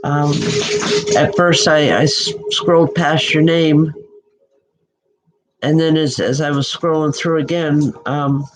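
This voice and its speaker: A woman's voice: low voice, rough recording. A woman from the Northeastern US giving a live-streamed spiritual reading as a psychic medium. She has a nasal head cold and talks in a chatty, affectionate, rambling way with many fillers.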